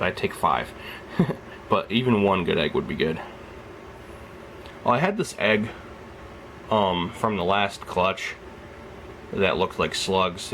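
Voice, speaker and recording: A young man with a deep, low-pitched voice, fresh out of bed, talks softly and rambles at length about the reptile in front of him. His casual vlog chatter is recorded indoors at home, with a faint hum of background noise. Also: low-quality recording. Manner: rambling, soft-spoken, keeps his voice down. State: just woke up. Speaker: reptile keeper filming his snake. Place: at home beside a reptile rack.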